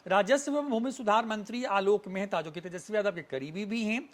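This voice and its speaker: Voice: medium pitch, fairly quick. A news presenter delivering scripted news narration in a formal report style.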